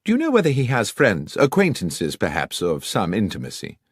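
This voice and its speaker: Commanding male Victorian detective for a storybook, acted by a male reader: low, expressive, crisply authoritative. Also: normal speed, no room sound, clean studio sound, exaggerated voice. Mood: brisk, probing. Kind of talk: audiobook character reading.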